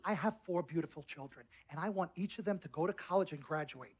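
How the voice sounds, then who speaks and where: A woman's voice: lowish voice, fast talker, darkish tone, breathy edge. A woman playing a slick, fervent sports agent in a game cutscene, insistent and emphatic, repeating points like a salesperson. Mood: earnest persuasion. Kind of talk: dramatic persuasive acting in a game cutscene.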